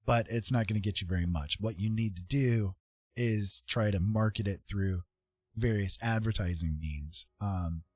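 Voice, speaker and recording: A man's voice: lowish voice, normal speed, slightly rough, dry recording. A man giving casual advice to viewers as a livestream host, talking in an informal, rambling way and often checking in with the listener.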